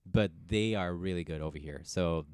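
A man in his thirties who grew up in Ethiopia, a comedian guest telling his story in an animated way with frequent laughter. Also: low voice, normal speed, clear, resonant tone, no echo, clean studio sound. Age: 30s. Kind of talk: casual interview chat.

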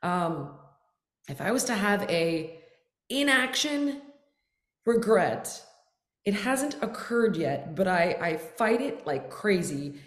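A woman's voice: mid-range voice, slow, pauses often. Candid woman guest in an echoey room. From United States. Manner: candid, animated, drops to whispers for quoted asides. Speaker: guest, fitness expert. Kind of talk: casual conversational interview.

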